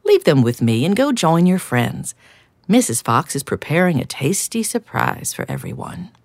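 A woman's voice: deep voice, sing-song, moderate pace, a little mellow, no room sound, pitched above the performer's own voice. A woman voicing a kindly female hoopoe who runs a bicycle shop, reassuring, in a storybook reading.

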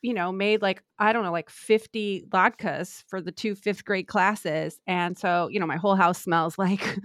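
A woman's voice: mid-range voice, steady tone, unhurried, fairly bright tone, dry recording, studio quality. A woman telling a rambling, self-deprecating story in a casual podcast chat. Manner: chatty, self-deprecating, many fillers. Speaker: podcast co-host, screenwriter.

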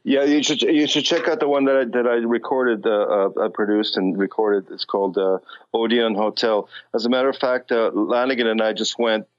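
A man's voice: mid-range voice, steady tone, fairly quick, highs cut off. A man with a light non-native accent, talking as a musician guest in a casual interview, rambling with many restarts and fillers.

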